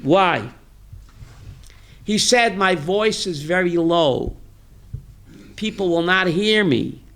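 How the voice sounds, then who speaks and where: High, bright-voiced rabbi quizzing his class. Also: takes it slowly. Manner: reads Hebrew text then translates, quizzing listeners; animated, emphatic rhetorical questions. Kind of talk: Torah class sermon.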